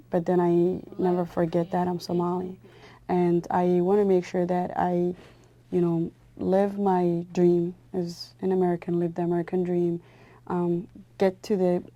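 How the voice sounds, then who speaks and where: Woman in her twenties, community radio host, low mellow voice, earnest interview talk. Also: no echo, lo-fi sound. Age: 20s. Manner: earnest, with fillers.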